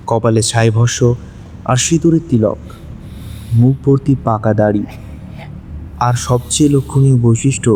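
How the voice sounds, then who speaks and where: A man narrating a dramatic audio story, with measured, suspenseful phrasing. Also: mid-range voice, dark tone.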